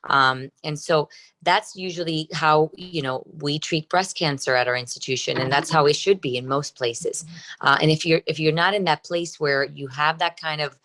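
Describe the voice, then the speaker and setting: A Cuban-born woman whose first language is Spanish speaks in a low, earnest voice. She answers interview questions as a surgeon and explains with analogies. She sounds middle-aged. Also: normal speed, fairly bright tone. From Cuba. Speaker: breast surgeon.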